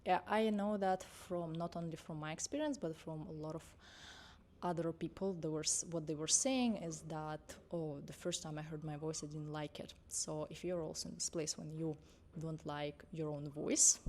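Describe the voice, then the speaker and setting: Young female coach, low voice, unscripted, reassuring, self-correcting. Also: darkish tone. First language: Russian. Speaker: confidence and mindset coach. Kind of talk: unscripted vlog talk to camera.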